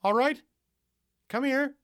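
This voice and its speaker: A man voicing a persuasive, creepy young male host who talks in a smooth, rousing way, like a preacher. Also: high-pitched, animated, a little slow, fairly bright tone, no echo, studio quality, voice pushed up. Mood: beckoning.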